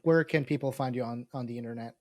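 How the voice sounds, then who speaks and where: A man's voice: mid-range voice, fast talker, darkish tone, no room sound. Male podcast host, native Arabic speaker, dry sound. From Lebanon. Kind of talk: casual podcast conversation.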